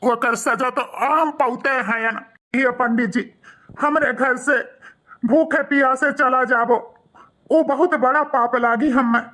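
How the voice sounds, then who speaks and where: A man's voice: very high-pitched, fairly even intonation, slow pace, bright, forceful tone, heavily acted. A man with an Awadhi accent playing a remorseful, guilt-ridden grown son who pleads repetitively in a melodramatic moral cartoon. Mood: remorseful pleading.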